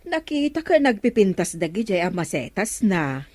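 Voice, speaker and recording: An actress playing a gossipy, sympathetic village neighbour in an Ilocano radio comedy drama, lamenting with an Ilocano Filipino accent. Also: female voice, medium pitch, sing-song, normal speed, darkish tone, no room sound. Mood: regretful.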